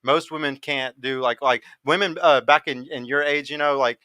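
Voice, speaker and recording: A man's voice: medium pitch, steady tone, moderate pace, bright, forceful tone, smooth, no echo. A man in his thirties hosting a casual panel debate, speaking informally and swearing freely. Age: 30s. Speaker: podcast host.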